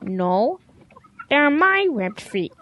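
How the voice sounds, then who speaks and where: A woman's voice: high-pitched, very animated, very slow, highs cut off, heavily acted. A woman voicing a stubborn, naive young cow who pretends to be a duck, earnest and childlike, in a children's audio drama. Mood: proud, insistent.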